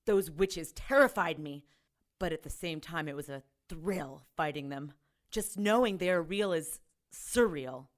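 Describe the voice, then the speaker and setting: A woman's voice: deep voice, unhurried. Blunt, sarcastic female ex-cop. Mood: candid. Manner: blunt, sardonic. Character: tough, sarcastic ex-cop woman, blunt and exasperated. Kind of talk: naturalistic audio drama acting.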